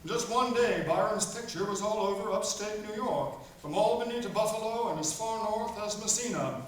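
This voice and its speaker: High-pitched man as storybook narrator, echoey. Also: brisk, lightly acted. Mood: storytelling. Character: genial storybook narrator of a farm tale. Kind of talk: scripted radio play narration. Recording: echoey hall from far off, faint noise.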